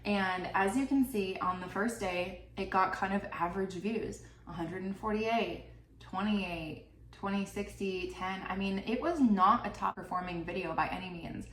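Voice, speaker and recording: A woman's voice: medium pitch, moderate pace, fairly bright tone. Chatty young woman with a stuffy cold. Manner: chatty, peppered with "like" and "you know". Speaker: YouTuber sharing channel earnings. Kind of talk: casual talking-head vlog. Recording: roomy, slightly distant mic, faint background hiss.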